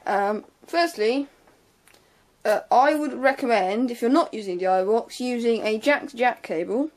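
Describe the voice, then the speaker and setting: A woman's voice: fairly high pitch, normal speed. A young woman gives an informal, unscripted hands-on tutorial in a hesitant, offhand way, trailing off into asides. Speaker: sound tech tutorial presenter.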